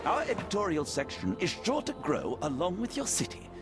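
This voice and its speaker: A man's voice: high voice, huge pitch swings, normal speed, over music. An actor playing an eager, nervous, idealistic newspaper publisher in a video game, polite and fussy in his theatrical delivery. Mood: earnest, eager.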